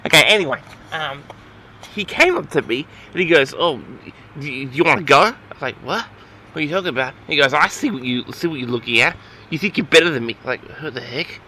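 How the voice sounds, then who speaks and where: A man's voice: a little high, expressive, brisk, bright, forceful tone, a little hiss or hum, poor audio. A man telling a story casually as host of an audio log, rambling in an awkward, flustered, exasperated way.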